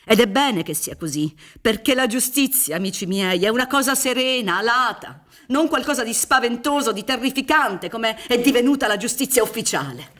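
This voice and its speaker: A woman's voice: a little high, animated, moderate pace, hardly any pauses, a bit bright. A middle-aged woman playing a theatrical, insinuating prosecutor in a radio drama, with a rhetorical, declamatory delivery. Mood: solemn, rapturous. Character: prosecutor in a mock trial, theatrical, gleefully insinuating retired official. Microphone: studio microphone on stage, headphones. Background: music.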